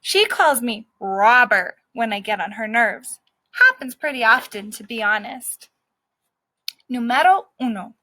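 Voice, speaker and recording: A woman's voice: a little high, sharp tone, no echo, heavily acted. A woman reading as a brash street dog. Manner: brash, cocky storytelling. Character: scrappy streetwise male dog narrator.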